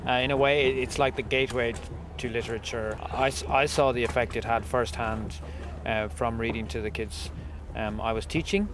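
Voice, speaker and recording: A man's voice: medium pitch, fairly even intonation, a little fast. A man giving reflective answers in an interview.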